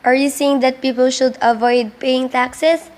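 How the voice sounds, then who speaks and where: Female amateur as skeptical radio interviewer, high-pitched, questioning, scripted delivery. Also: flat delivery, a little slow. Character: doubting female radio interviewer pressing for answers.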